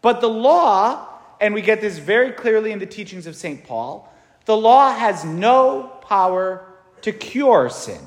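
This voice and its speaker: Chatty priest in echoing church. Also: male voice, high-pitched, big pitch swings, measured pace. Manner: casual, chatty, many fillers and tag questions. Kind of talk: conversational homily. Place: large echoey church. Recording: echoey church with long reverb.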